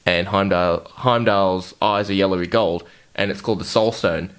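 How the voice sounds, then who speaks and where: A man with a slightly low voice talks as a podcast co-host. He chats like a fan among friends, informally and with the odd swear word. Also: steady tone, moderate pace, clear, resonant tone. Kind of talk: casual fan chat.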